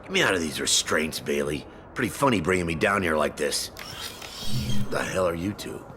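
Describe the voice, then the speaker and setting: A man playing a cocky, crude crime boss in a video game, with a gravelly, mocking, slangy tough-guy delivery. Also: low voice, lively intonation, moderate pace, noisy background. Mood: indignant.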